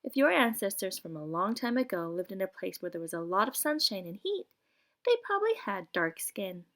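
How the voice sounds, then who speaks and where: A woman's voice: mid-range voice, big pitch swings, brisk, fairly bright tone. A woman reads a children's picture book aloud like a preschool teacher, explaining things and putting questions to young listeners. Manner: clear explanatory reading with questions posed to children.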